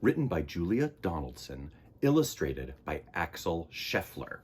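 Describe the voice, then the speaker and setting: Excitable guy reading kids stories, super expressive, slightly raspy, takes his time. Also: deep voice, measured pace. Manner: excitable, theatrical. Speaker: storytime host. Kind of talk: children's read-aloud storytelling.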